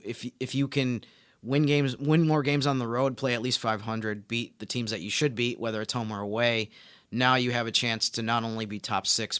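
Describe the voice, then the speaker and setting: A man's voice: mid-range voice, bright, forceful tone, smooth, no room sound, clean studio sound. A man co-hosting a sports podcast, talking casually in an agreeable, explanatory way.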